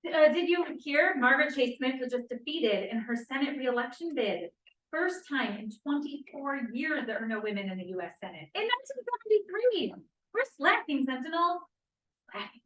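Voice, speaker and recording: A woman's voice: high voice, sing-song, normal speed, fairly bright tone. A woman acting an anxious, candid young college feminist in a stage play table read. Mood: indignant. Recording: echoey room, distant mic.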